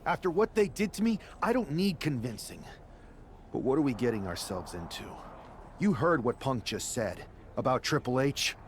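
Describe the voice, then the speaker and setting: An actor playing a loyal, wary, determined wrestler in a video game, sincere and conversational. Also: male voice, medium pitch, sing-song, moderate pace, a little hiss or hum, natural voice. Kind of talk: scripted video game cut-scene dialogue.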